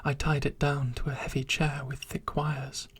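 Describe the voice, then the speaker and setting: A narrator reading a dark story in a soft, steady whisper. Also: medium pitch, moderate pace, slight background noise. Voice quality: very breathy.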